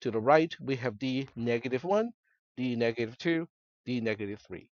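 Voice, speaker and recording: A man's voice: medium pitch, expressive, moderate pace, no room sound, dull audio. Male instructor with Cantonese as first language. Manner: conversational, checks in with students often. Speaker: college instructor. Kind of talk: classroom lecture. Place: classroom.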